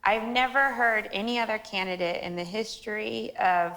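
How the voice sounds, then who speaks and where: A woman with a shrill voice, a guest on a comedy panel, making wry self-deprecating quips. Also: steady tone, normal speed, gravelly, pushed and bright, rough recording. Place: TV studio with audience.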